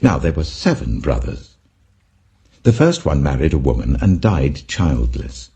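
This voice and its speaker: A man's voice: rumbling low, sing-song, a little slow, dark tone, exaggerated voice. A man voicing a sly questioner who feigns respect, speaking formally and pointedly, in a dramatic scripture reading. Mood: pointed, probing.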